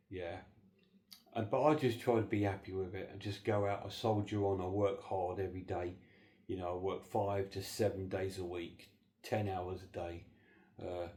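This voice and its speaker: A man's voice: low-pitched, dark tone. A middle-aged man recording a rambling, earnest holiday message, slightly congested and hesitant with lots of fillers. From southern England. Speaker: horror film channel host. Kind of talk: casual holiday video message.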